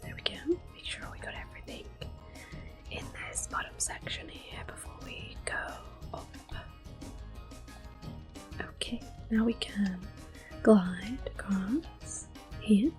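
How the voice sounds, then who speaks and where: A woman giving gentle, unhurried, soft whispered ASMR commentary on a game as the host. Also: mid-range voice, lively intonation, over music.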